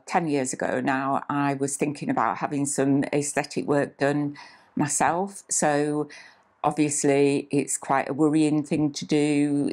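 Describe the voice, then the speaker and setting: A woman in her sixties, a clinic manager, talking calmly and steadily with frequent "uh" fillers, seated in a small clinic room. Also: deep voice. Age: 60s. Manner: calm, measured, with frequent "uh" fillers. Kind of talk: scripted-feel promotional interview talk.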